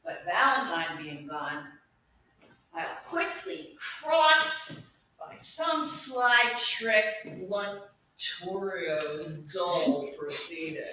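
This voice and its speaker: A woman as a brooding, scheming young gentleman, very echoey. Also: medium pitch, exaggerated voice. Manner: deliberate, declamatory. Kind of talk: Shakespeare soliloquy, stage acting. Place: indoor staged reading, recorded from audience distance. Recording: echoey hall, distant mic, faint noise.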